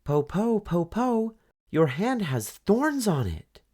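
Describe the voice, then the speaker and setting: A man's voice: high-pitched, sing-song, slow pace, darkish tone, voice pushed up, heavily acted. A man voicing a clever, sweetly cunning young girl, the eldest sister, in a coaxing sing-song way. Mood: curious.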